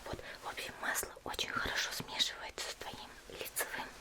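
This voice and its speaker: A fully whispered, breathy female voice of a woman acting as a cheerful young demon slayer in an ASMR spa roleplay. She is gentle and caring, chatting softly and unhurriedly with small hesitations, as if pampering the listener. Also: a little fast, lightly acted. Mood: gentle, explanatory.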